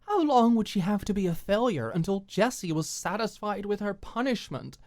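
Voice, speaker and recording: A woman's voice: mid-range voice, lively intonation, normal speed, a little mellow, pitched above the performer's own voice, natural voice. A woman voicing a hurt, furious young woman in an audio drama, bitter and tense, her voice shaky with angry tears. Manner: bitter, swearing, emotional outbursts.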